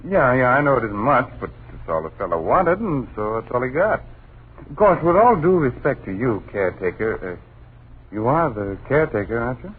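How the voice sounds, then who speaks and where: Easygoing American family man, animated intonation, breezy slang, 1940s-style radio acting, tinny recording. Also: medium pitch, normal speed, breathy edge. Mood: breezy, explaining. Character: brash, easygoing young father renting a country house. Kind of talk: old-time radio drama acting.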